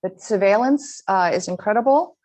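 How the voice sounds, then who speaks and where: A woman giving a slide presentation to a school audience, earnest and halting, with frequent ums and restarts. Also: medium pitch, expressive, darkish tone. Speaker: guest speaker presenting slides.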